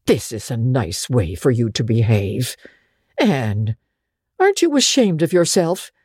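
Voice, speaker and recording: A woman's voice: extremely low pitch, very animated, measured pace, darkish tone, breathy edge, dry recording, studio quality, full character voice. A woman voicing a stern, upright middle-aged countrywoman who reproves firmly in a dramatic storybook reading. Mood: stern scolding. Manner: scolding, severe, firm.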